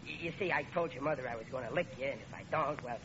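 An actor playing a blustering but soft-hearted middle-aged husband and father in an old-time radio comedy, with a folksy, ungrammatical way of talking. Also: mid-range voice, very fast, lightly acted. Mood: sheepish, conspiratorial. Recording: muffled, noisy old radio recording.

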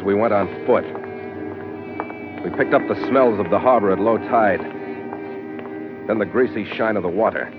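A man's voice: on the low side, close to an everyday voice. An actor playing a tough, terse American sea captain who narrates his own adventure in an old radio drama. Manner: clipped hardboiled delivery. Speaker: lead actor and first-person narrator. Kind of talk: 1940s-50s radio adventure acting. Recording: tinny old radio recording, music behind.

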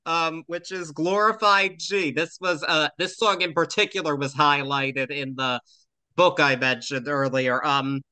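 A man with a higher, very bright and sharp voice co-hosts a casual music podcast. He talks in a relaxed, agreeable way. The recording is dry and close. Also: unhurried, dry recording. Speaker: podcast co-host.

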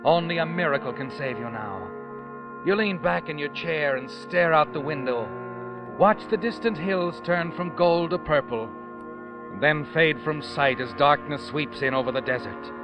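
An actor playing an ominous, knowing radio narrator, telling the story dramatically and insinuatingly in 1940s style. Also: male voice, a little high, normal speed, lightly acted. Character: ominous, knowing narrator who taunts the protagonist. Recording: dull vintage radio recording. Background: dramatic music.

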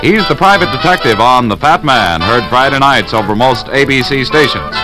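Animated American male vintage radio announcer. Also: mid-range voice, low-quality recording. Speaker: promo announcer. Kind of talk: 1940s radio announcing, scripted promo. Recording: vintage radio broadcast with music. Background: music.

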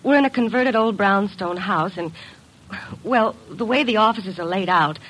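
Husky, worried young secretary. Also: female voice, medium pitch, normal speed, a bit bright, low-quality recording. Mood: worried, hesitant. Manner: hesitant, polite, anxious. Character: worried, frightened young female secretary client, polite and hesitant. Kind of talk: 1950s radio drama acting.

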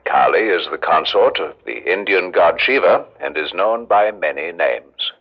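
An actor playing a recorded museum guide in a radio drama, narrating formally with measured, grandiose solemnity. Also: male voice, low voice, expressive. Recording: dull old radio recording.

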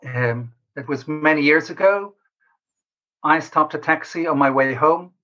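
A man answering interview questions as a researcher, explaining in a measured way with pauses mid-sentence. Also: fairly high pitch, bright, forceful tone. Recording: slightly dull lo-fi call audio.

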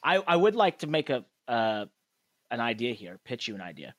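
A man's voice: medium pitch, big pitch swings, fairly bright tone, dry recording, studio quality. A man hosting a motorsport podcast in casual chat, joking and laughing often as he talks. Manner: jokey, laughs often, talks in quick asides. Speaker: podcast host.

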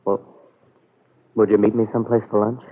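An actor with an American accent playing an earnest, hesitant young soldier in an old radio soap opera, on a muffled vintage recording. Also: male voice, on the low side, little pitch movement, a little fast, husky. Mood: earnest pleading. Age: young adult. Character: earnest young soldier pleading with the girl he loves.

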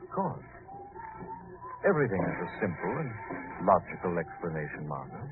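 An actor playing a rational, protective hero, firm and reassuring, in 1940s radio style. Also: male voice, deep voice, very animated, moderate pace, over loud music. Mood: firm reassurance. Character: steady rational male detective hero, protective. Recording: noisy phone-like old radio recording.

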